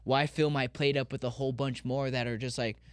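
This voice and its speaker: A man's voice: medium pitch, fairly even intonation, brisk, smooth, no room sound, studio quality. A man in his thirties from Colorado, a pro skateboarder talking as a podcast guest, candid and rambling with lots of restarts. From Colorado, USA. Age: 30s. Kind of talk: casual podcast interview.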